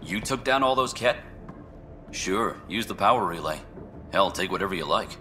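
A man's voice: sits low, lively intonation, normal speed, pushed and bright, slight background noise. A man playing a world-weary outpost scientist in a video game, blunt, informal and wry. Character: gruff, world-weary male outpost scientist giving practical advice. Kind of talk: scripted video game dialogue.

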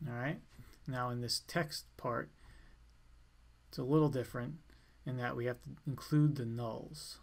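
Man with creaky voice giving software tutorial. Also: medium pitch, fairly even intonation, unhurried. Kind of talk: step-by-step screencast walkthrough, informal.

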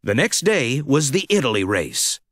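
A man narrating a scripted children's read-along storybook with a dramatic, expressive delivery. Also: medium pitch, normal speed, no room sound, clean studio sound.

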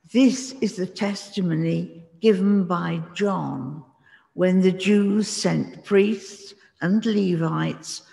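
An older reader slowly reading the gospel aloud in a church hall, measured and giving the dialogue its own voices. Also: medium pitch, creaky, darkish tone. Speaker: scripture reader. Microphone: far camera, lectern. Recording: long echo in hall from far camera.

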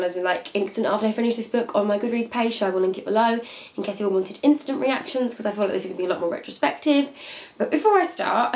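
A woman's voice: mid-range voice, lo-fi sound. Chatty young woman with a sniffly cold. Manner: rambling, chatty, many fillers and restarts. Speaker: book reviewer. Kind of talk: casual book review. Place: living room by a window seat, indoors.

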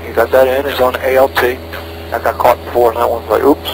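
A man's voice: medium pitch, level tone, fast talker. A man working as a pilot, making radio calls and talking himself through checks in short phrases over a headset in a small plane. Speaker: private pilot. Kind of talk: radio calls and cockpit self-briefing. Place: inside a small propeller plane in flight. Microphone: aviation headset. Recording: noisy cockpit intercom with engine drone.

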